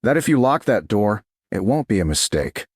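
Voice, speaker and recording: A man voicing a steady, intense medieval duke in a romance audiobook, terse and controlled. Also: fairly low pitch, normal speed, breathy edge, dry recording, clean studio sound, heavily acted. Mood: unyielding.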